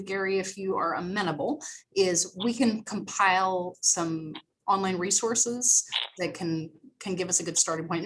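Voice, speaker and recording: A woman on library staff talks a meeting through its options over a video call. Her voice sits at a middle pitch, and her delivery is conversational and explanatory, with frequent restarts and fillers. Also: a little hiss or hum, low-quality recording. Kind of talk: meeting discussion.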